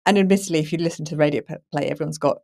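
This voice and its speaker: A woman hosting a conversational film podcast, chatty and animated in the way she tells things. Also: sits low, racing, vocal fry. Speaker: podcast host and moderator.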